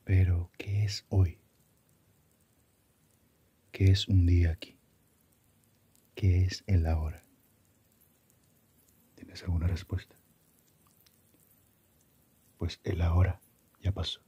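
Man playing a deep, hushed, breathy Death. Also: little pitch movement, dull audio, exaggerated voice. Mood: musing, philosophical. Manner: unhurried, musing, asks reflective questions. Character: calm, philosophical Death personified, gently welcoming a soul. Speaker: ASMR roleplayer. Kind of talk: slow ASMR roleplay, half-whispered.

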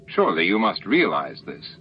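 A man voicing an authoritative male alien chief, smooth, measured and formal. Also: deep voice, huge pitch swings. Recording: dull lo-fi audio, faint noise.

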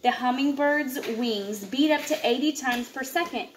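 A woman in her thirties reading a children's nature book aloud at home in an expressive way, with asides to young listeners. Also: high voice, moderate pace. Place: indoors, open living room and kitchen at home. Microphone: camera a short distance away. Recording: echoey room with clear background noise.

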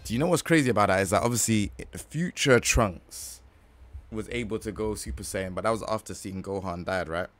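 A man's voice: on the low side, brisk, fairly bright tone. A young man casually reacting to a video game from a small room, with slangy, easygoing chatter. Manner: laid-back slangy chatter with frequent laughs. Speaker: gaming streamer reacting to a game.

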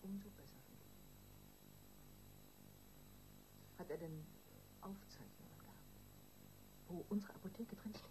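An actress playing a hard, cool-headed, impatient wife in a radio crime drama, brisk and commanding. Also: female voice, medium pitch, background noise, natural voice. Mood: probing, calculating.

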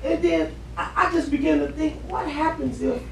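A man playing an earnest, needy husband in a stage play, opening up haltingly to his wife. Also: very high voice, fairly even intonation, creaky. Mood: hesitant, vulnerable. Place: living-room stage set indoors. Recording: roomy, distant camera mic, background noise.

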